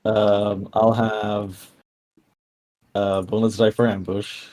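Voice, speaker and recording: A man's voice: lowish voice, flat delivery, slow pace, a little mellow. A man chatting casually as a player in a tabletop role-playing game, thinking aloud hesitantly.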